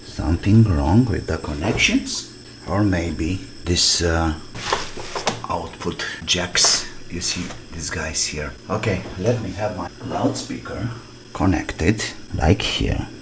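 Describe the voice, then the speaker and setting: Low-voiced, slow-talking man. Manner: halting, thinking aloud in short fragments. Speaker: electronics repair hobbyist presenting his restoration. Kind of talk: casual hands-on repair commentary. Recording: clearly noisy recording with clicks.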